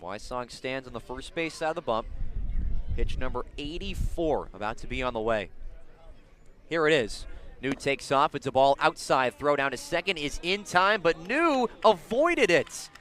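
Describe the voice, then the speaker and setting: Male announcer, somewhat high pitch, live baseball radio commentary, descriptive, excitable on key plays. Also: moderate pace.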